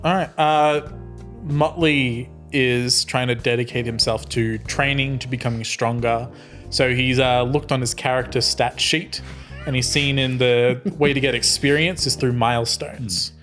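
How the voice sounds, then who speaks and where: Man, medium pitch, tabletop player, casual improvised storytelling, halting, amused, soft music behind. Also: fairly even intonation. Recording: music playing under the voice.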